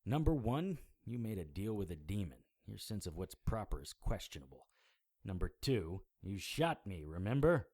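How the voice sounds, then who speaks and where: An actor playing a hard-boiled, sardonic occult investigator in a noir audio drama, blunt and world-weary. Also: male voice, lowish voice, sing-song, normal speed, darkish tone. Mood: sarcastic, wary.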